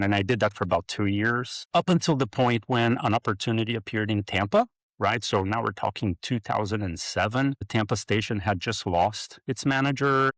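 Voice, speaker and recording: A middle-aged man from Brazil recounts his career as a podcast guest. His voice sits on the low side and is recorded dry and close. He talks in a halting way, full of fillers and restarts. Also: a bit bright, no room sound. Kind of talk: interview storytelling.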